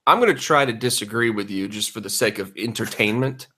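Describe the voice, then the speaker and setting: A man's voice: on the low side, a little fast, pushed and bright, breathy edge. A man co-hosting a podcast in casual, mock-earnest banter with his friends.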